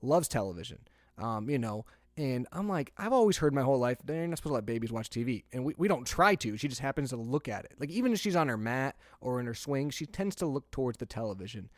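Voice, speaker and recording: A young man in casual podcast conversation, talking loosely and laughing easily as he tells stories. His voice sits at a middle pitch and sounds dry and close, with no room around it. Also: fast talker, no room sound. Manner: chatty, laughs easily, animated when telling stories. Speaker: podcast co-host. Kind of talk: casual chat.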